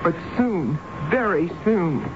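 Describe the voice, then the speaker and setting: A woman's voice: lowish voice, expressive, slow pace. An American actress playing an earnest, insistent woman in a radio drama who is convinced aliens contacted her. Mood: urgent insistence. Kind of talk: 1970s radio drama acting. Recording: muffled old radio broadcast with hiss.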